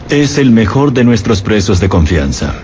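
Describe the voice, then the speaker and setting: A deep-voiced, formal prison director, cold and commanding. His measured film delivery moves widely in pitch over faint background noise. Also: very animated, a little mellow. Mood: coolly authoritative. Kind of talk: dubbed film dialogue.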